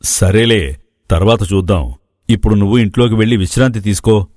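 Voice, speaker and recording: A man's voice: on the low side, fairly quick, darkish tone, no echo, studio quality, lightly acted. A man playing an anxious, evasive older village farmer father, hesitant and stammering. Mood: discouraging.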